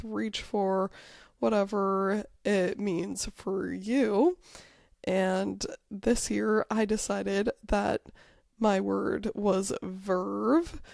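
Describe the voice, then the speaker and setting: Woman podcast co-host, hoarse after being sick, slow easygoing delivery, laughs at herself. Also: medium pitch, a little mellow, dry recording, studio quality. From Wyoming. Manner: chatty, self-deprecating, laughs often. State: croaky, recovering from illness. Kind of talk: casual chat.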